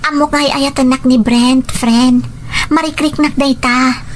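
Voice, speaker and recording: Woman as naive provincial student girl, very high voice, devoted and sincere; slight background noise. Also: steady tone, measured pace. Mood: defensive, lovestruck. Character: young female provincial student, grateful, naive, devoted in love. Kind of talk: scripted audio drama dialogue. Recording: noticeable background noise, ambience.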